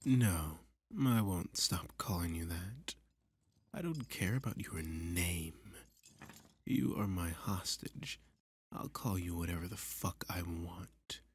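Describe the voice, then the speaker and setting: A very deep-voiced villain played by a man in an intimate ASMR roleplay, heavily husky and often dropping into a whisper. He takes his time with every threat, flirty and domineering, taunting his hostage with condescending ease. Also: heavily acted. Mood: mocking, flirty. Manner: slow, domineering, teasing, condescending.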